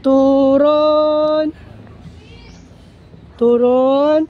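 A woman with a Davao Cebuano accent who drives a pedicab and vlogs, calling out for passengers on quiet residential streets and chatting casually. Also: high voice, steady tone. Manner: slow, drawn-out repeated calls for riders. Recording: muffled outdoor audio with faint street noise.

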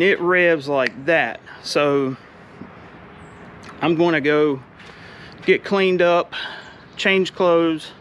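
A man, chatting excitedly in a casual vlog about his race truck, outdoors and then driving in the cab. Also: on the high side. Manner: excited, chatty, drawling shop talk. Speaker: car builder vlogger. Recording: loud engine noise throughout.

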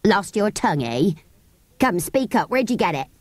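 A gruff English horse dealer from a storybook, acted out by a woman, sneers and bullies in working-class speech. He drags out his words mockingly, with big swings in pitch. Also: very animated, a little mellow, dry recording. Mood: bullying. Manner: mocking, bullying, drawn-out words.

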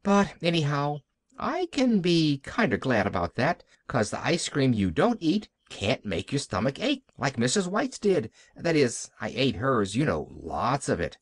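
A man's voice: medium pitch, wildly swooping pitch, a little slow, dry recording. A man voicing a glad little orphan girl who rambles breathlessly and eagerly in a storybook reading. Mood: eager chatter.

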